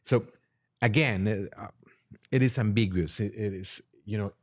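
A man discussing religion in a halting, deliberate, explanatory way, as a priest and podcast guest would. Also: on the low side. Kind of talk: religious discussion, reading aloud and commenting.

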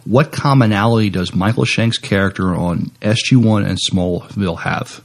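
Deep, gravelly-voiced podcast co-host man. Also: normal speed. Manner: laid-back, brief agreeing replies. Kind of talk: casual podcast chat.

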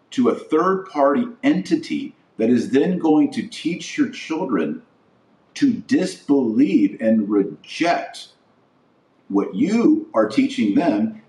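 An American man delivering a political monologue as a podcast host, emphatic and deliberate, stressing his phrases with pauses. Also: mid-range voice, darkish tone. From United States. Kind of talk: political platform monologue, speech-like. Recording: roomy echo, distant from mic.

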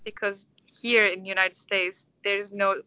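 A woman in her twenties from Istanbul with a Turkish accent, answering interview questions in a thoughtful, halting, conversational way. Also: medium pitch, flat delivery, bright, forceful tone, clean tone, no echo, highs cut off. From Istanbul, Turkey. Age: 20s. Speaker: interview guest, orchestral conductor.

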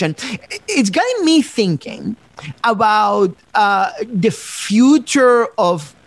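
A man's voice: high voice, no echo. A man from Ecuador hosting a podcast, speaking in a reflective way that is full of restarts and fillers. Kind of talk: conversational podcast talk.

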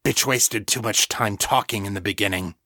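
A man's voice: fairly low pitch, normal speed, sharp tone, no room sound, clean studio sound. A man voicing a violent, menacing male thug who snarls and spits his words in a narrated story. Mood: tight anger.

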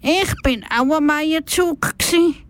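A woman's voice: high voice, slow. A woman with a Swiss German accent presenting on radio, telling a personal report in simple words.

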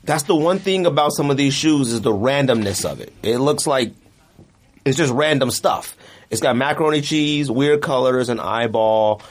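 A man's voice: medium pitch, normal speed. A man co-hosting a sneaker podcast, chatting casually and giving opinions in informal slang.

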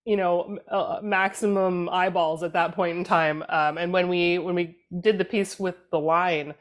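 A Canadian woman in her thirties talks politics on a podcast panel. Her voice sits on the low side. She speaks haltingly, pausing and restarting as she searches for the right words. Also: fairly even intonation. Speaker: political communications guest. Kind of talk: casual podcast discussion.

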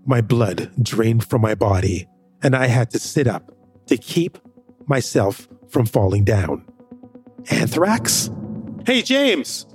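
A man narrating a first-person audio drama with expressive delivery. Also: slow, breathy edge, soft background music. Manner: expressive, rising pitch in dialogue.

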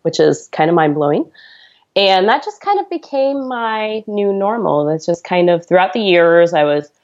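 A low-voiced woman in her thirties with a gravelly edge tells her story to a podcast host. She talks in an earnest, chatty way and laughs easily. The sound is slightly dull and lo-fi. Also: lively intonation, normal speed, a little mellow. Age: 30s. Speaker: podcast guest. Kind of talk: conversational storytelling.

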